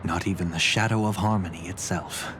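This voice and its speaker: A man voicing a calm, firm protective older brother. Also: deep voice, fairly quick, noisy background, close to an everyday voice. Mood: reassuring. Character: composed older brother, protective family leader. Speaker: game character. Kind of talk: voiced game cutscene dialogue. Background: game music.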